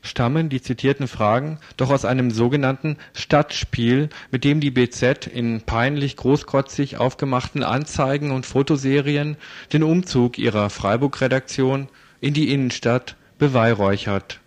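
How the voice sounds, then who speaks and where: A man's voice: mid-range voice, moderate pace. A man reading a scripted, critical commentary as a radio presenter.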